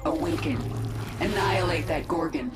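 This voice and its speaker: Female game lead woman acting: ruthless alien swarm queen, low-pitched, cold and imperious, echoing sound. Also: normal speed, background noise, close to an everyday voice. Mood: commanding. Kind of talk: video game cutscene acting.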